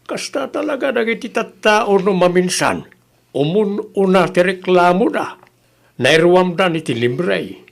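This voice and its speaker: Actor as exasperated village father, high-pitched male voice, reproachful, Filipino accent, comedy skit. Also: expressive, a little slow, gravelly, exaggerated voice. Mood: weary reproach. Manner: nagging, reproachful. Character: exasperated, scolding village father, fed up with freeloaders. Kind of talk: Ilocano comedy skit acting.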